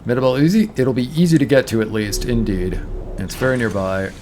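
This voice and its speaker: A man's voice: medium pitch, lively intonation, a little fast, a little mellow, a little hiss or hum. A man from Toronto with a Canadian accent streams a game in casual chat, thinking aloud and correcting himself, his voice tired. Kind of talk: casual let's-play chat.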